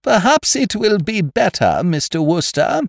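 A man's voice: mid-range voice, big pitch swings, a little slow, darkish tone, dry recording, voice pushed up. A stern, icy schoolmistress, as a male narrator puts her on, raised above his own voice, cold and clipped in every phrase. The lines rise and fall widely, and the recording is close and dry. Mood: cold, firm. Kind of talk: audiobook character voice.

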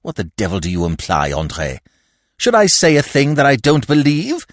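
A blustering, easily affronted old lord, as a male narrator puts him on in a storybook reading. His voice rides high and shrill, leaping all over the scale whenever he is crossed. The sound is dry and close. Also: huge pitch swings, normal speed, no room sound. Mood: impatient. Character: testy elderly male nobleman, fussy and shrill. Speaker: provincial lord and godfather.

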